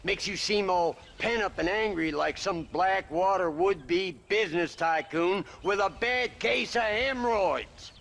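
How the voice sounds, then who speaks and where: Creaky, drawling old Western ranch hand. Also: male voice, high-pitched, a little slow, few pauses, a bit bright, exaggerated voice. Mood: wry grumbling. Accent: American Western. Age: older. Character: folksy, grumbling old male ranch hand. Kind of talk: video game cutscene acting.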